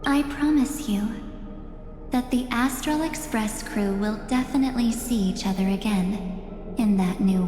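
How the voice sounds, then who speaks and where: Game villainess: grandiose seductress, unhurried and menacing, in long reverb over music. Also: female voice, fairly high pitch. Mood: seductive, commanding. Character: menacing, seductive, grandiose female keeper of memories. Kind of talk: theatrical video game voice acting.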